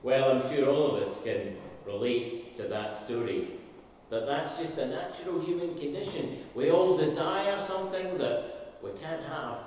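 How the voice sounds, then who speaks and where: An older man, a minister, gives an expressive, slowly told all-age talk from the pulpit of a large church sanctuary. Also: fairly high pitch. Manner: storytelling, slow and expressive. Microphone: far camera, pulpit mic. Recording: echoey church hall from a distance.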